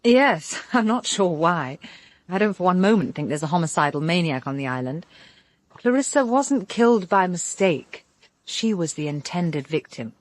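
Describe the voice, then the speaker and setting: An actress plays a reasonable, watchful British woman in a radio drama. Her voice sits fairly low and she speaks in a measured, thoughtful way, recorded close and dry. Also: animated, no room sound, natural voice. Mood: firm. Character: composed, observant woman, quietly probing and reasonable. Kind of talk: full-cast radio drama dialogue.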